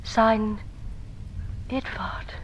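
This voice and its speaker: A woman's voice: mid-range voice, fairly even intonation, very slow, whispered, muffled recording. An actress playing an anxious, earnest lady in a dramatic 1940s radio melodrama. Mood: tense. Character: anxious, earnest young lady of a troubled household. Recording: noisy old radio recording.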